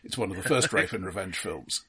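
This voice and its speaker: A man co-hosting a casual film discussion, speaking in a measured, analytical way with halting phrasing. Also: deep voice, sharp tone, slightly rough. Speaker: film podcast co-host.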